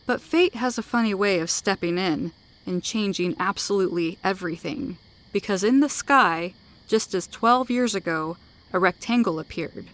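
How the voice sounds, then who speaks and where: A woman's voice: medium pitch, normal speed, a bit bright, low-quality recording. A woman narrating scripted sci-fi fiction in a dramatic storytelling style, close to the microphone.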